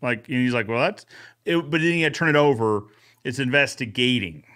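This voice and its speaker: A man's voice: mid-range voice, animated, a little fast, a bit bright, smooth. A man hosting a casual conversation in an agreeable, jokey way. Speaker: podcast host. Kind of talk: casual chat.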